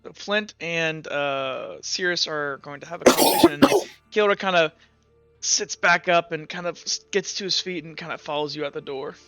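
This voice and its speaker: A man's voice: fairly high pitch, moderate pace, over music. A young man narrating a tabletop game as game master, in a loose, hedging way full of fillers. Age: young adult. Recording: dull lo-fi mic.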